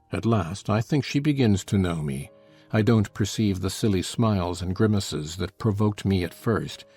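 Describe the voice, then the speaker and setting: An actor playing a scornful, cruel, brooding husband in a dramatized gothic novel, speaking with deliberate, sardonic contempt. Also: male voice, low voice, a little mellow, slightly rough. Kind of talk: dramatized audiobook acting.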